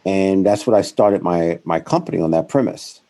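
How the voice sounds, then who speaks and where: A man's voice: low voice, moderate pace. A middle-aged man, a guest in an interview, telling his career story in a rambling way, correcting himself as he goes. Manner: rambling, self-correcting, animated when quoting others. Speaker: guest, founder of a financial firm.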